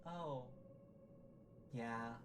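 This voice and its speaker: An actor playing an earnest, naive assistant in an audio comedy drama, deferential, hesitant and fretful. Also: male voice, mid-range voice, very slow. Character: nervous, earnest, naive male underling of a judge. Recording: noticeable background noise and ambience.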